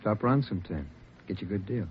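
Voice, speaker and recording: A man's voice: fairly low pitch, lively intonation, quick pace, slight background noise, natural voice. An actor in a 1950s radio crime drama playing a surly, evasive salesman who is a cornered murder suspect, defensive and exasperated. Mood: weary, sullen. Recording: dull lo-fi vintage radio recording.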